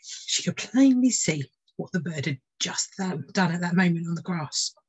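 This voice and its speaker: A woman's voice: fairly low pitch, lively intonation, normal speed, husky. A woman tutor narrating a children's storybook expressively. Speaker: tutor reading a children's book.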